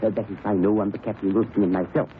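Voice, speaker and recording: An actor playing an anxious, fussy museum professor in a radio mystery, speaking formally with many hesitations. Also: male voice, on the low side, level tone, rapid-fire, close to an everyday voice. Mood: uneasy, cautious. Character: fussy, anxious male museum professor, superstitious despite himself. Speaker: museum curator. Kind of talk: 1930s radio mystery acting. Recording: muffled, noisy old radio recording.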